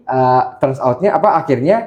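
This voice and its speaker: A mellow-toned Indonesian man hosts a casual interview. His voice is medium in pitch and relaxed. He speaks in slang and keeps checking that the other person follows, using short tag questions. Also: normal speed, highs cut off. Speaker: podcast host.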